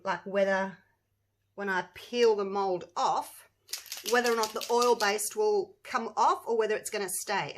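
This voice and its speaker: A woman, her voice a little croaky, talks through a hands-on craft project in a chatty, rambling way. She checks in with her viewers as she works and sits at an average pitch for a woman. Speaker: resin craft channel host. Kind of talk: casual crafting tutorial.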